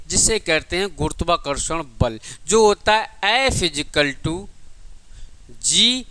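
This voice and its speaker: Bright, high-pitched man teaching. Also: clear, resonant tone, no room sound. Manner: didactic, repeats key points, asks and answers own questions; addresses students affectionately as child. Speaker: physics teacher. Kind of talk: exam coaching lecture, working through derivations.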